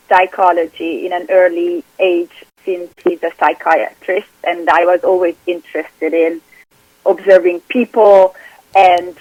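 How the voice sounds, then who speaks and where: A woman's voice: on the low side, dry recording, highs cut off. A woman from Hungary with a Hungarian accent, speaking earnestly as a guest on an interview podcast and often restarting her sentences. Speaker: performance coach.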